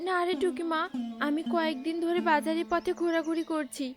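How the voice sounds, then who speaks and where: Woman playing a high-voiced brave mother bird. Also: fairly even intonation, a little fast. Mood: reassuring. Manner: earnest, motherly. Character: brave, hopeful mother bird, devout and hardworking. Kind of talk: cartoon character acting. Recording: faint music behind the voice.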